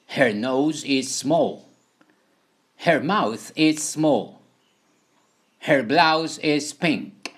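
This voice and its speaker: A man reading a scripted beginner language lesson as a teacher, deliberately delivering short example sentences phrase by phrase. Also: mid-range voice, a bit bright. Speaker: English teacher.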